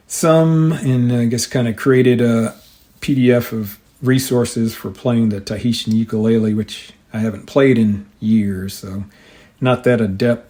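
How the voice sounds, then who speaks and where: A man with a soft-edged, mellow voice at a middling pitch talks as a hobby show host. His delivery is informal and explanatory, a casual monologue that moves along a bit haltingly. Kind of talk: casual hobbyist monologue with some reading aloud.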